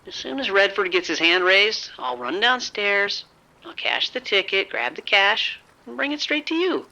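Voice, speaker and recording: An actor playing a reckless gambler in an audio drama, cocky and breezy, always coaxing and persuading. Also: male voice, high voice, lively intonation, normal speed, gravelly, pushed and bright, highs cut off, heavily acted. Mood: dismissive, reassuring.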